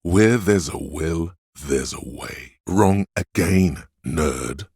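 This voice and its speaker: A man voicing the hedonistic inner voice of addiction in a video game, a sleazy tempter in the brain with a gravelly, husky, goading drawl. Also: rumbling low, animated, extremely slow, a little mellow, dry recording, clean studio sound, exaggerated voice. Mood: persuasive, then mocking.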